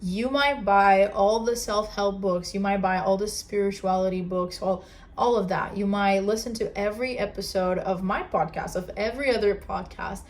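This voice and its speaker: A woman's voice: medium pitch, fairly even intonation, normal speed. A young woman with a Portuguese accent hosting a casual solo podcast, talking earnestly with many fillers and restarts. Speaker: podcast host.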